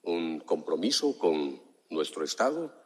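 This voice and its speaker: Slow, deep-voiced man in a reverberant hall. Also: sing-song, husky. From Juchitán, Oaxaca, Mexico. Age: 50s. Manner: slow, measured, formal address with long pauses. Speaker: municipal commissioner. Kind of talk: speech at a press conference. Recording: hall reverb, relayed press conference.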